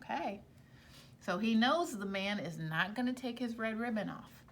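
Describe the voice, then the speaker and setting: A woman reading a storybook aloud to children like a teacher, expressively, pausing to explain things to her young listeners. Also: fairly high pitch, lively intonation, a little hiss or hum.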